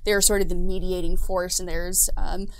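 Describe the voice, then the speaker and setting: A woman's voice: fairly low pitch, flat delivery, moderate pace, low-quality recording. A woman talking casually on a livestream, explaining a fantasy game setting in enthusiastic detail as a guest designer.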